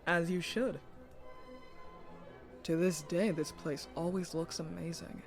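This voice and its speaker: High-voiced, eager young nobleman. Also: steady tone, slow pace, faint music behind. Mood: jovial. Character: friendly, teasing young royal cousin, loyal, eager. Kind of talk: audio drama acting.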